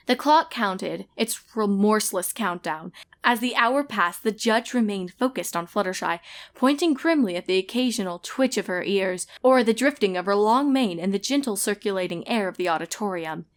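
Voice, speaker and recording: A woman reading scripted story narration in a measured, expressive storytelling manner. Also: medium pitch. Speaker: fan fiction reader and narrator.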